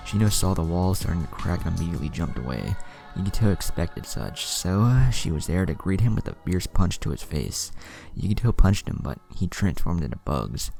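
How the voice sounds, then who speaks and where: A man's voice: low voice, gravelly. A man narrating an anime fan story, reading out both the action and the dialogue.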